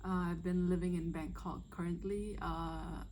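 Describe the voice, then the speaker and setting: Young woman in her 20s from Myanmar, low-ish mellow voice, chatty and hesitant vlog Q&A, faint room hiss. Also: flat delivery, unhurried. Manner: chatty, hesitant, with many fillers. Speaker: vlogger. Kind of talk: casual first-video Q&A chat.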